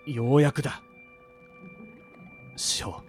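A man acts out a vengeful older brother in a radio drama. His medium-pitched voice is slow, cold and terse, set over background music. He sounds resolute and commanding. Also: steady tone, natural voice. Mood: bitter, resolute.

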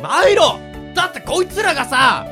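A man acts out a brash young mage for a radio drama. The older-brother hero talks roughly and bluntly, with big swings in pitch. Music plays clearly behind him. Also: wildly swooping pitch, normal speed, heavily acted. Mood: irritated, blustering. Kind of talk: amateur radio drama acting.

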